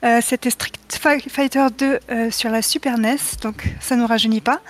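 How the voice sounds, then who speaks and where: A woman's voice: on the high side, steady tone, moderate pace. A woman in her thirties talking casually as a podcast panelist. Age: 30s. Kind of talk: casual self-introduction.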